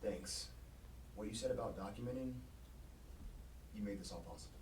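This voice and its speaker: Distant, echoey: male actor as earnest young college guy, casual, understated, slightly low, audio drama. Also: moderate pace, a little mellow, close to an everyday voice. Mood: grateful. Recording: echoey, distant, background noise.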